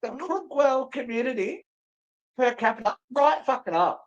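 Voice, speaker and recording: A woman's voice: sits high, lively intonation, normal speed, highs cut off. A woman co-hosting a casual livestream, joking around in a theatrical, sweary way. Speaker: co-host of a live show.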